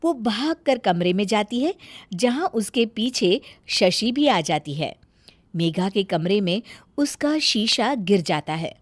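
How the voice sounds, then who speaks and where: A woman storyteller narrating a scripted moral tale in an expressive, deliberate way. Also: medium pitch, dry recording.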